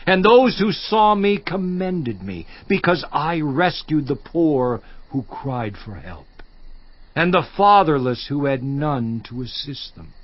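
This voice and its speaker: An actor playing a suffering, once-honored biblical patriarch in a dramatized scripture monologue, theatrical and declamatory with long pauses. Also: male voice, fairly high pitch, dry recording, lo-fi sound, exaggerated voice. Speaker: actor in a dramatized audio Bible.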